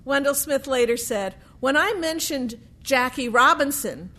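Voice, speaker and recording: A woman telling a true sports history story in a deliberate way, with dramatic pauses and emphasis. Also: high voice, animated, pushed and bright.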